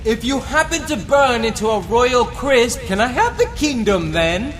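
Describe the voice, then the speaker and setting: A man's voice: very high voice, very animated, a little slow, a bit bright, exaggerated voice. A man playing a spoiled, lazy young prince in an audio drama, with an airy, mock-dramatic manner. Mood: flippant. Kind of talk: fan audio drama acting.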